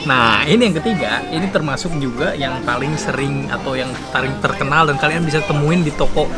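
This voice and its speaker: A man's voice: medium pitch, fairly quick. A man from Bandung, hosting a travel show with casual, giggly, repetitive food-tasting chat. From Bandung, Indonesia. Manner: chatty, giggly, repeats himself. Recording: loud music behind, outdoor location sound. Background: loud music.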